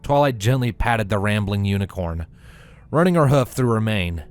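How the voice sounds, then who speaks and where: A man's voice: fairly low pitch, animated, moderate pace, soft background music. A man narrating a scripted story as a reader. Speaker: fan fiction reader.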